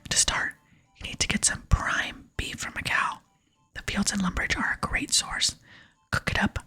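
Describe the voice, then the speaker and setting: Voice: normal speed, pushed and bright, natural voice. A person voicing a proud, grumpy video game cook who scolds in a whisper. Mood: proud, bossy. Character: gruff, proud cook guarding the kitchen, quick to scold.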